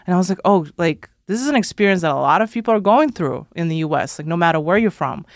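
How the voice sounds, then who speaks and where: A woman's voice: lowish voice, fast, no echo, clean studio sound. A woman in her thirties talking casually as an interview guest, telling stories by acting out quotes and often saying "like". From Kazakhstan. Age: 30s. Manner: animated storytelling, quotes people in dialogue, frequent "like". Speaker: guest, social media content creator.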